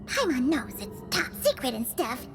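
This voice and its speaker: Teeny fairy mascot, super high and cutesy, bouncy pitch, excitable, music underneath. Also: female voice, moderate pace. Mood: sheepish, pleading. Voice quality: squeaky, childlike. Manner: cute, exaggerated, refers to self in third person. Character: tiny childlike fairy companion, excitable, naive, timid. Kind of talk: cartoonish video game voice acting.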